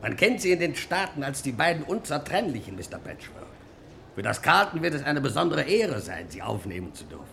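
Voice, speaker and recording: An actor playing a fawning, pompous greeter from a luxury hotel in a radio crime play, with florid, formal manners. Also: male voice, medium pitch, very animated, fairly quick, vocal fry, bright, forceful tone, slight background noise, heavily acted. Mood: fawning, grandiose.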